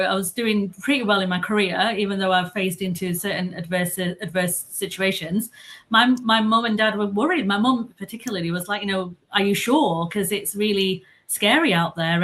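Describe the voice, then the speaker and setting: A woman's voice: mid-range voice, moderate pace, a bit bright. Earnest woman moderating a panel. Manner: encouraging, earnest. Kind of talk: panel discussion.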